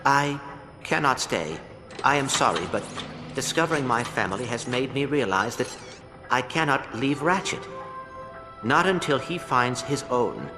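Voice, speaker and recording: A man voicing an earnest, loyal little robot with a formal, precise, gentle manner in a video game cutscene. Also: medium pitch, lively intonation, measured pace. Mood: regretful. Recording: music behind the voice.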